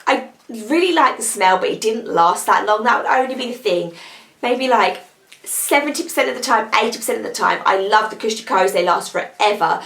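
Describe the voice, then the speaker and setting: A young British woman with a croaky voice from a cold, chatting casually as she reviews beauty products she has used up. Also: on the high side, animated, fairly quick, a bit bright, roomy sound. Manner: chatty, rambling, upbeat. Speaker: beauty vlogger.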